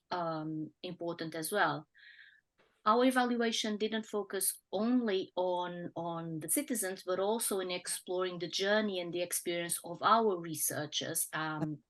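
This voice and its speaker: A woman researcher with a croaky voice, presenting project findings in a webinar, measured with fillers and throat clearing. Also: fairly low pitch, fairly bright tone.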